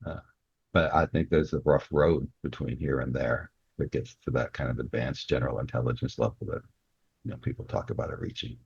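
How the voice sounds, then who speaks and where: Male interview guest, extremely deep voice, casual and explanatory delivery, slightly muffled lo-fi audio. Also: little pitch movement, fairly quick, husky, no room sound. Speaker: guest, author and cybersecurity professional. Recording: slightly dull, lo-fi sound.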